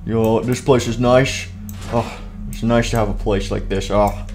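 A man's voice: medium pitch, moderate pace, a little mellow, soft background music, natural voice. A man voicing a cocky, lazy zombie monster with a blunt, taunting delivery in a comic skit. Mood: smug. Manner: blunt, taunting, laid-back. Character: cocky, lazy, gruff male zombie monster, self-styled big spooky. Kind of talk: improvised comic character skit.

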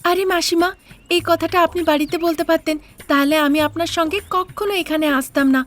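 A woman's voice: very high voice, steady tone, a little fast, soft background music, pitched above the performer's own voice. A woman voicing an honest, earnest young daughter-in-law in a cartoon tale, plaintive and pleading. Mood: indignant. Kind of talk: cartoon fairy-tale character acting.

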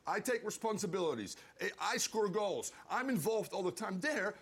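A man's voice: sits high, expressive, moderate pace, fairly bright tone, breathy edge. An older man debating insistently as a football pundit in a studio, repeating himself for emphasis. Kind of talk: heated panel debate. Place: television studio.

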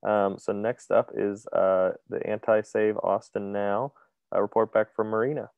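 Male moderator, gravelly and lowish, dry phone-like audio. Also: fairly even intonation, unhurried, a little mellow, dry recording. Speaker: meeting moderator. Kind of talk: moderating a meeting.

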